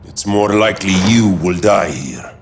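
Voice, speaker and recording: An actor playing an ancient, menacing man of great power who speaks with slow contempt in a game cutscene with music underneath. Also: low-pitched, lively intonation, creaky, background noise. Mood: scornful.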